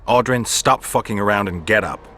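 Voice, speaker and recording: An actor playing a stern, world-weary monster hunter in a video game, speaking in a terse deadpan. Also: male voice, fairly low pitch, normal speed, soft background music. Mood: stern, impatient.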